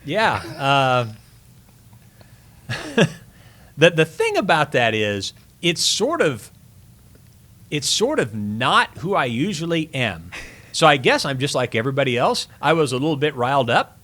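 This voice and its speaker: A man's voice: on the high side, very animated, a little slow, pauses often, sharp tone. A middle-aged man speaking as an interview guest, telling sports stories casually with reflective, emphatic phrasing. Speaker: sports broadcaster, podcast guest.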